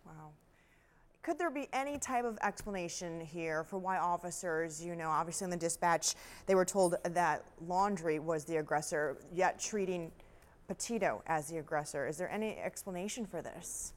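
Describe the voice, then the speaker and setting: A woman's voice: on the low side, moderate pace. A woman news anchor presenting and interviewing in a broadcast style.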